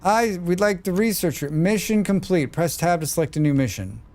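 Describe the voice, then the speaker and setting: A man's voice: high-pitched, animated, moderate pace, a little mellow. A man with a scratchy voice, muttering and thinking aloud as he streams a game. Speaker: streamer playing a demo. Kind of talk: live gameplay commentary.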